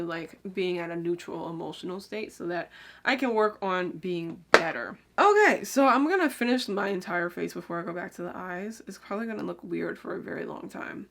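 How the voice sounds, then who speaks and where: A young woman chatting casually while she does her makeup, rambling with lots of "like" and "um" and drifting quieter as she works. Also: fairly low pitch. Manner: talks while applying makeup, drifts quieter then brighter. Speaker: beauty vlogger. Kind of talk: casual get-ready-with-me makeup chat.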